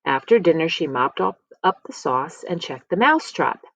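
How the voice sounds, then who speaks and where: A woman reading a children's picture book aloud as a storyteller, expressively, with dramatic pauses and emphasis. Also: low-pitched, lively intonation, creaky, fairly bright tone, dry recording. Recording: slightly dull, lo-fi close recording.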